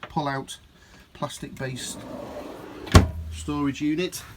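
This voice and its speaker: A man's voice: a little high, steady tone, slow pace. Chatty guy filming a walkaround inside a camper, rain outside, noisy camera mic. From United Kingdom. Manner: chatty, addresses viewers as folks. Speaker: motorhome dealer and reviewer. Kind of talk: walkaround video review. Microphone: handheld camera mic.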